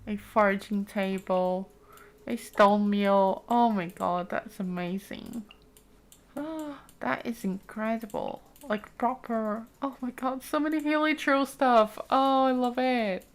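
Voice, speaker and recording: A woman's voice: on the high side, takes it slowly, clear, resonant tone. A woman giving casual, chatty let's play commentary, thinking aloud with frequent exclamations as she explores a game. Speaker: gaming streamer, let's play host.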